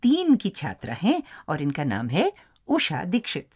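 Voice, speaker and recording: A woman with an Indian accent co-hosting a scripted radio show in a playful, expressive manner. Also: deep voice, a little slow, breathy edge. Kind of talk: scripted radio presenting and banter.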